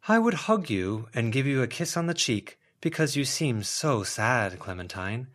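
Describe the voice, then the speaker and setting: A man's voice: mid-range voice, very animated, normal speed, fairly bright tone, voice pushed up. A man voicing a languid, melancholy young man in an audiobook, speaking with florid, earnest confidence. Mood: pained, earnest. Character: languid, melancholy, dramatic long-haired beautiful young man.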